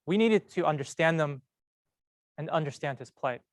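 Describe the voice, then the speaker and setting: Young man, earnest storytelling talk, measured pace with pauses, slightly high voice. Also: animated, dry recording, clean studio sound. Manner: measured, earnest delivery with slow emphatic pauses. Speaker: medical student speaker.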